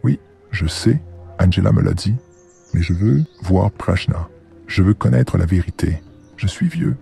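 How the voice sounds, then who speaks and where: A man plays a weary, ailing grandfather in his seventies for a cartoon. His frail voice is very dark and full of creak, and he speaks with earnest regret. Faint music plays behind him. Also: low voice, expressive, exaggerated voice. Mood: pleading, regretful. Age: 70s. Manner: slow, earnest, reflective. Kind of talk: animated drama acting in French.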